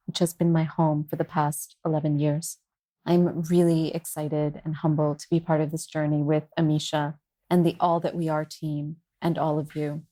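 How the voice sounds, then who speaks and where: A woman's voice: low voice, dark tone. A woman from Iran whose first language is Farsi, introducing herself sincerely as a workshop curator on a podcast. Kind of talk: casual spoken introduction.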